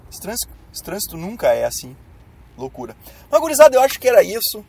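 A young man with a southern Brazilian gaucho accent, a bit hoarse from a cold, chatting to viewers while driving through city traffic in a car. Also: high voice, wildly swooping pitch, fast, a little mellow. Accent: southern Brazilian, Rio Grande do Sul. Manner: chatty, addresses viewers directly. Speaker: YouTuber. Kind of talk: casual driving vlog chat. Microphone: camera mounted on dashboard.

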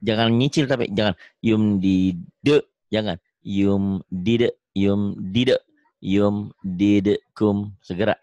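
A man with a Sundanese accent teaching Quran reading over a video call, drawing out syllables slowly, correcting and praising a student. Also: on the low side, fairly even intonation, darkish tone, no echo, highs cut off. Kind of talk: online reading lesson.

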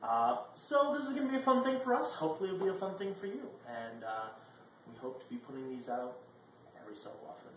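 A man's voice: fairly high pitch, big pitch swings, quick pace. A man in his twenties hosting a video blog, chatting casually and animatedly to the camera, with sudden jumps in volume. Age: 20s. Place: indoor dining room at a table. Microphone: far camera microphone. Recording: echoey room, distant mic, faint noise.

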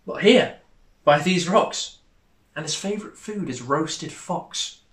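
A man's voice: a little high, animated, slow, fairly bright tone, roomy sound. A man voicing a small, clever, polite but boastful mouse in a storybook. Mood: politely confident.

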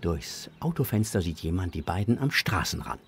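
A man narrating a children's audio drama in an expressive, scripted storytelling style. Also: sits low, normal speed, gravelly, a little hiss or hum.

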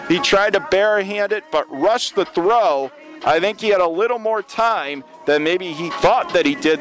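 A man doing radio play-by-play for a college baseball game, steady and measured, rising sharply when a play breaks open. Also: high-pitched.

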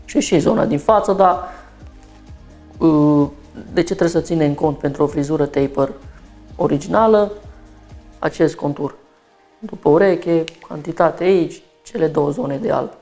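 A man's voice: fairly high pitch, creaky, dark tone. A man in his thirties from Romania, a barber who explains each step of a haircut while he works, talking through it in a small shop.

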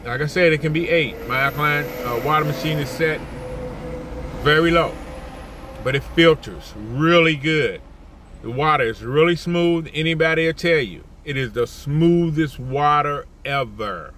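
A high-pitched, bright-voiced older man delivers an exasperated vlog rant from the seat of a parked car. He repeats his points and jumps higher whenever something annoys him. Faint noise from the street outside the car sits under his voice. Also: normal speed. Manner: animated, indignant, repeats himself, jumps up in pitch when worked up. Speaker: vlogger. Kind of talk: ranting car vlog to camera. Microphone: phone camera at arm's length.

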